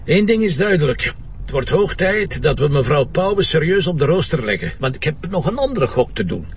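Shrewd flemish cop boss in a radio play, teasing, creaky voice, bit off mic, dull sound. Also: male voice, fairly high pitch, big pitch swings, fairly quick, recorded from a distance, slight background noise. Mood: musing, confident. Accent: Flemish Belgian. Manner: wry, needling, colloquial. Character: shrewd, teasing, dogged male police commissioner. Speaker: actor. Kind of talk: naturalistic radio drama dialogue.

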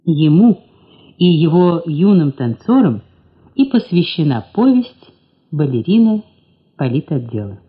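A woman announcer reading a scripted introduction to an audio play in a measured, formal, declamatory way. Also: low-pitched, very animated. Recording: dull narrow-band old recording.

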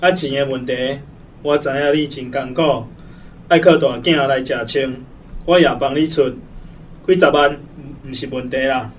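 A man's voice: medium pitch, normal speed, far from the mic, slight background noise, natural voice. A man playing a stern, blunt older uncle with a Taiwanese Hokkien accent in a radio drama. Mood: stern, reassuring. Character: stern older uncle, gruff but dependable family head.

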